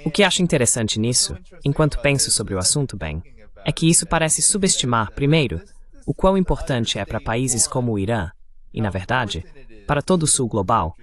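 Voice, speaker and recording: A man hosting a dubbed political interview, laying out his view in a measured, explanatory way. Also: mid-range voice, big pitch swings. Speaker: interview host. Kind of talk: political commentary, dubbed voice-over.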